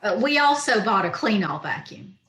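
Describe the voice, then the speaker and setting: A woman playing a wry, scheming schoolteacher with dry deadpan quips in an old-time radio comedy. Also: medium pitch, moderate pace. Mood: mock innocent. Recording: roomy, echoing video-call recording.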